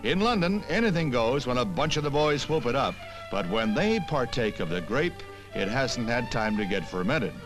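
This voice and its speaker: Animated American male 1960s newsreel narrator. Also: medium pitch, moderate pace, poor audio. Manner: punchy, wry, punning delivery. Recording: 1960s newsreel film soundtrack. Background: music.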